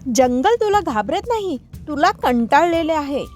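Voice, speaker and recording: A woman's voice: high-pitched, very animated, unhurried, a little mellow, voice pushed up. A woman voicing a defiant mother goat in a children's cartoon story, indignant and outspoken.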